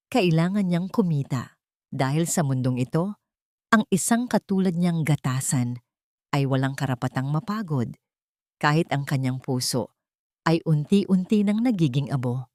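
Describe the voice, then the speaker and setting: A woman narrating a dramatic story in a slow, measured, somber way, close to the mic. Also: lowish voice, darkish tone, no room sound.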